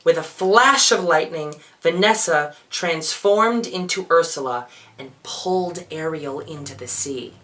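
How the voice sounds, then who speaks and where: Slow theatrical drag queen storyteller. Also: on the high side. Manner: theatrical, expressive. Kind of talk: children's storybook reading with playful asides.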